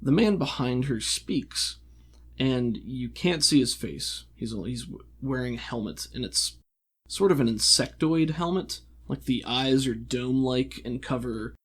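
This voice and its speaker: A man's voice: mid-range voice, measured pace, a bit bright, slightly rough. A man game-mastering a tabletop roleplaying game, improvising descriptive narration and searching for his words as he goes.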